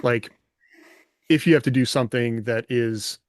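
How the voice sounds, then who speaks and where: A man with an average-pitched voice talks casually as a podcast co-host. He works through his thoughts aloud in a tentative, roundabout way. The sound is dry, with no room echo. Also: fairly even intonation, moderate pace.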